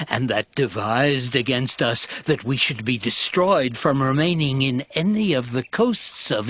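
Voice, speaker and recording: Slow, aggrieved, vengeful man. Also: medium pitch, fairly bright tone, slightly rough, full character voice. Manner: slow, emphatic, unforgiving. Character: aggrieved, vengeful spokesman of a wronged people. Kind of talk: dramatized scripture acting.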